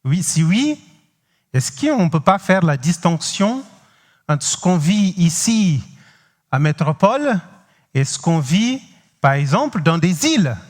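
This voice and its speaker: Cape Verdean male pastor, non-native French speaker, unhurried halting sermon, long reverb of a large church. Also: high voice, very animated. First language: not French. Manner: halting, many pauses and restarts, addresses the congregation directly. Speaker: guest pastor.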